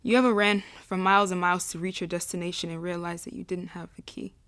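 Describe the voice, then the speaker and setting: A woman's voice: fairly low pitch, level tone, fast, a bit bright. A woman narrating a spoken-word poem in an earnest, rhythmic delivery.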